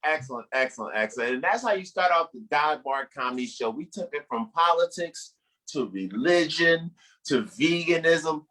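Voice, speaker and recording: A man works as a stand-up show host, his pitch moving around in a lively way. He banters directly with the crowd in loose, profane language and builds up each act. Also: normal speed, rough recording. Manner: profane, hyping, addresses the crowd. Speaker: comedy show host and comedian.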